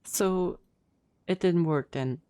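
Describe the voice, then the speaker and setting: A woman's voice: on the low side, slow pace, a crowd in the background. A woman giving casual, wry and sceptical commentary as she plays and reacts to a game. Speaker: streamer playing and reacting to a game.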